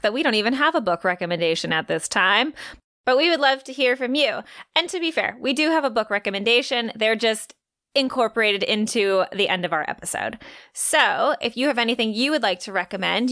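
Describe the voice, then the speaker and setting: A woman's voice: medium pitch, expressive, brisk, pushed and bright, no room sound, clean studio sound. A woman co-hosting a book podcast, recapping and giving show notes in a conversational style. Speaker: podcast co-host.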